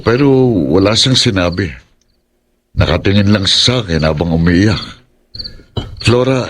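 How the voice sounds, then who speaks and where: Man acting troubled, brooding older brother, lowish voice. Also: slight background noise. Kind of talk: Filipino horror audio drama acting.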